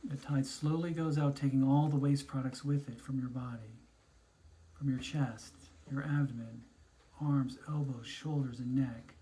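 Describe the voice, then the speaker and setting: Male guided-imagery narrator. Dark, relaxed tone, soothing instructional read with spaced phrases. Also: medium pitch, poor audio. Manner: slow, soothing, instructional delivery with pauses between phrases. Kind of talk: guided meditation, scripted narration.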